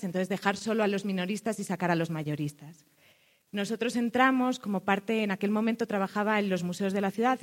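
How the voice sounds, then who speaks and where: Woman with a Spanish accent, panel speaker, reflective and measured, mid-range voice. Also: medium pitch. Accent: Spanish (Spain). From Spain. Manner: thoughtful, pauses on fillers, often ends points with a questioning "no?". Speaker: cultural manager and public official presenting a project. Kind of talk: panel presentation to an audience.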